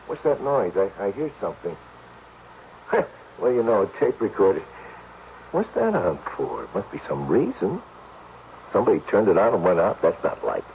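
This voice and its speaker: A man playing a flustered, well-meaning apartment doorman in a 1970s radio drama, stammering and rambling hesitantly. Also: sits high. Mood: puzzled. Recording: dull, lo-fi old radio recording with faint hiss.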